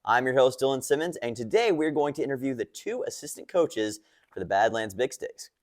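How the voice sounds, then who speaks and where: A man's voice: medium pitch, lively intonation, fairly quick. A man hosting a sports interview with an upbeat, announcer-like delivery. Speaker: interview host and radio play-by-play man.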